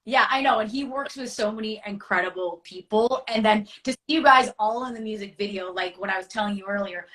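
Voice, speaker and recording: A woman's voice: mid-range voice, fairly quick, a bit bright, poor audio. A woman hosting a casual interview, affirming and enthusiastic, filling her talk with "like" and "right.". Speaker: podcast host.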